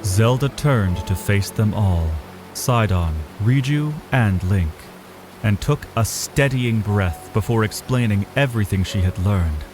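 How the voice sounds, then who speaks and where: A man's voice: lowish voice, lively intonation, unhurried, over music. A man narrating a scripted fantasy story in a dramatic style.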